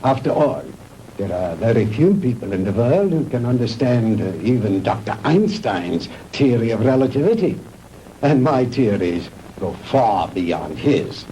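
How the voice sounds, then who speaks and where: An older Nazi mad scientist. He speaks slowly and pompously, his pitch rising and dropping theatrically as he lectures with fanatical grandeur. The sound is a faintly hissy 1960s B-movie soundtrack. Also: very animated, dark tone, poor audio. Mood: smug lecturing.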